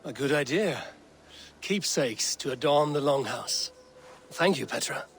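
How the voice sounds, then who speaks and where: A man playing a laconic, steady Viking leader in a video game, speaking tersely and deliberately. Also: medium pitch, vocal fry, slight background noise. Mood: steady, reserved. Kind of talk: video game dialogue acting. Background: loud game music.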